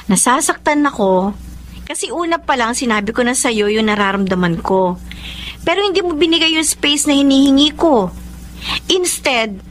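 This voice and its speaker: A woman's voice: fairly high pitch, fairly bright tone, slight background noise. A woman playing a candid, heartbroken young Filipino woman in a radio drama, speaking emotively and conversationally with a Filipino accent. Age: young adult. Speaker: drama narrator and lead. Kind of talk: radio drama acting and letter narration.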